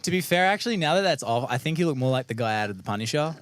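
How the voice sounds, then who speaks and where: A man's voice: fairly high pitch, lively intonation, rapid-fire, dry recording. A man co-hosting a podcast, joking and teasing the guest in casual banter. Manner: teasing, jokey. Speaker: podcast co-host.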